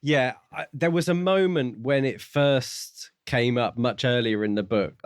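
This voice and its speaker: A man's voice: medium pitch, unhurried, smooth, dry recording, studio quality. A man co-hosting a podcast in casual book discussion, tentatively thinking aloud. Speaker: podcast co-host.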